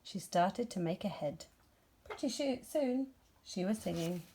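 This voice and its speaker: A woman narrating a scripted children's story in an expressive storytelling manner. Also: medium pitch, a little slow, slightly rough. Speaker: storybook reader.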